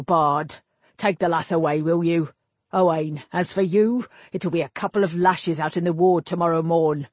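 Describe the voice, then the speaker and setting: Storybook guard captain, blunt scolding man, dry husky voice, read by a woman. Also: on the low side, darkish tone, no room sound. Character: annoyed gruff male captain of the guard.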